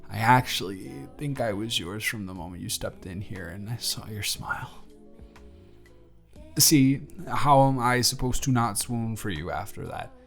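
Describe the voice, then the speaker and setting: A man's voice: lowish voice, gravelly, fairly bright tone, heavily acted. A young man playing a friendly, smitten male barista in an intimate romantic audio roleplay, earnest, conversational and slightly flustered. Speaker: voice actor.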